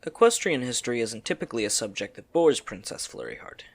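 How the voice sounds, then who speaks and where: A man's voice: mid-range voice, very animated, fast talker. A man reading scripted story narration for an audio drama.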